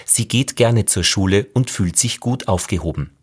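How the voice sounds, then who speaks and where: A man narrating a radio feature in a measured, questioning way. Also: fairly low pitch, expressive, slightly rough. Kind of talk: scripted narration.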